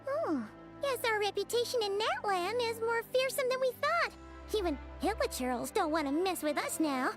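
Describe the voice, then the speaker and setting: A woman voicing a small, excitable, childlike fairy companion in an anime game, squeaky and chatty. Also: moderate pace. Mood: curious, surprised. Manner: chatty, exclaiming, refers to self in third person. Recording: music behind the voice.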